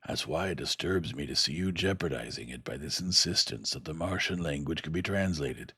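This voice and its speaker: A man voicing an old male scholar who speaks in a soft, slow, hushed and weary way. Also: a bass voice, vocal fry, slightly rough, no room sound, studio quality, exaggerated voice. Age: older.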